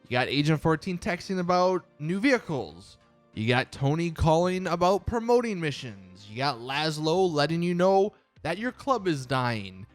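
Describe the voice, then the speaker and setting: A young man delivers casual gaming commentary in a fairly high voice. His pitch swings widely as he complains with exasperated emphasis. The recording is close and dry, with music playing faintly underneath. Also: big pitch swings, normal speed, no echo. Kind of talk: casual opinion commentary over gameplay. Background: background music.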